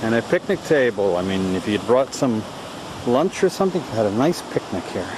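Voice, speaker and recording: Low-voiced man vlogging outdoors over loud surf. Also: big pitch swings, a little fast. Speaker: travel vlogger. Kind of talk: casual outdoor vlog commentary. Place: outdoors on a rocky coastal track. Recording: noisy outdoor audio, surf and wind-like ambience.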